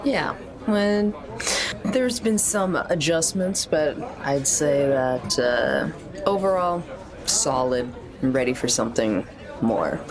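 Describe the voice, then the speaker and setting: A woman's voice: deep voice, animated, slow pace, crowd noise. A woman playing a tabletop game, talking casually in a rambling, jokey, slightly hesitant way. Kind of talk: casual in-character and descriptive game chat.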